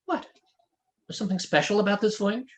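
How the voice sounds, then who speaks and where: A man's voice: high-pitched, brisk, voice pushed up, lightly acted. A man voicing a stern, commanding female ship's captain with clipped, formal authority in a fantasy audiobook. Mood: brisk, challenging. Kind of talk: fantasy audiobook character dialogue.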